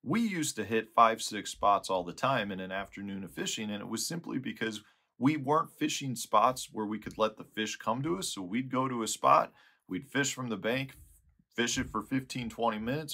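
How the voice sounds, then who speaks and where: A man's voice: fairly low pitch, sharp tone. A man in his forties with a Midwestern American accent gives informal, conversational instruction to camera as a fishing guide. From Chicago area, United States. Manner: explanatory, conversational. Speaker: fishing guide and video host. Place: small indoor tackle room.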